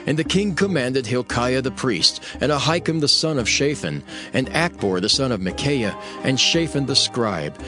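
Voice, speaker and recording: A man narrating scripture for a dramatized audio production in a solemn, measured storytelling manner. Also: mid-range voice, animated, music in the background.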